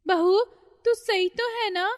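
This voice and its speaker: A woman's voice: steady tone, slow pace, big-room sound. A woman voicing a fretful older mother-in-law who panics easily, in a cartoon story. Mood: pleading. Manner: shrill, panicky.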